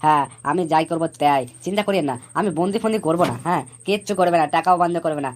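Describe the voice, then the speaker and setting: A boastful village candidate played by a woman rattles through comic cartoon campaign patter at breakneck speed. The voice sits fairly low, blustering and repeating itself like a schemer selling big promises. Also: rapid-fire, darkish tone, slight background noise, natural voice. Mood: cocky, persuasive. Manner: blustering, repetitive speechifying, scolding.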